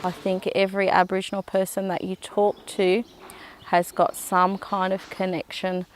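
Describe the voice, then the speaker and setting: A woman with an Australian accent speaking proudly and in a measured way in a documentary interview. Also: medium pitch, completely flat delivery, noisy background. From New South Wales, Australia.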